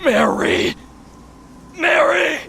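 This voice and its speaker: A man's voice: very high-pitched, animated, drawn-out words, vocal fry, sharp tone, shouting, slight background noise, full character voice. An actor playing a desperate, wounded man in an audio drama, strained and screaming in anguish.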